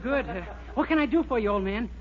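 A man's voice: extremely high pitch, expressive, fast talker. A man playing a naive, eager young store clerk with earnest, innocent comic timing in a 1940s radio sitcom. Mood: breezy, confident. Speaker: comic actor. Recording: muffled, very noisy 1940s radio recording.

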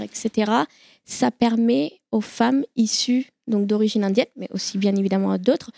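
A woman of Indian origin speaking on a live panel, earnest and halting, with many fillers. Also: a little high, gravelly, warm tone, no echo, studio quality.

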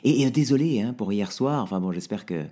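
A man voicing a hapless, blustering husband who stammers and protests loudly. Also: lowish voice, lively intonation, brisk. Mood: nervously jovial.

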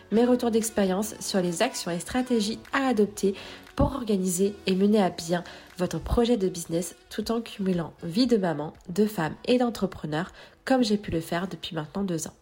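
A woman's voice: mid-range voice, normal speed, a little mellow. A woman reading a confident, scripted podcast introduction. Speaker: podcast host. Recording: voice over background music. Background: music.

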